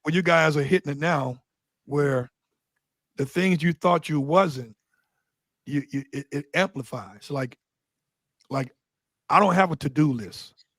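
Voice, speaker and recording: A man with a fairly high voice tells stories in a relaxed, blunt way, full of slang. His voice is dry and close, with no room in it. He sounds like a guest in a casual sit-down interview. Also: unhurried, long pauses, dry recording. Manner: loose, streetwise storytelling with blunt slang. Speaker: interview guest, Doberman breeder.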